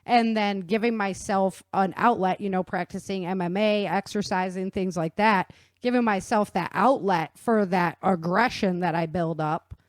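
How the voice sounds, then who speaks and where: A woman's voice: mid-range voice, moderate pace, few pauses, no room sound. A woman hosting a podcast who chats casually and often adds brief words of agreement. Her voice sounds close and dry. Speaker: podcast host. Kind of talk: casual conversation.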